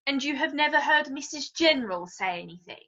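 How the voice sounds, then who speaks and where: Lo-fi recording, woman acting gentle timid young sister, period drama, high voice, lively intonation, polite, hesitant, earnest. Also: a little fast, exaggerated voice. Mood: gently doubting. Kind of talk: stage-style period drama dialogue.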